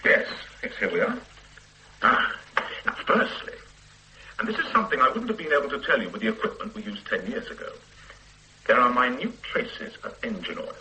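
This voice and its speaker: An actor playing a pedantic, self-satisfied forensic scientist who lectures the police in a radio drama. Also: male voice, medium pitch, very animated, moderate pace, sharp tone, slight background noise, heavily acted, low-quality recording. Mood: lecturing. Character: pompous middle-aged male forensic scientist. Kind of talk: 1970s radio drama acting.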